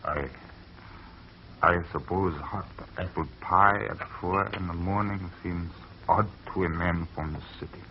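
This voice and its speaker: An actor playing a weary farmer grieving a lost girl, talking haltingly and stammering, in a 1940s radio drama on an old lo-fi broadcast. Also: deep voice, steady tone, noisy background. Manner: halting, stammering, soft-spoken.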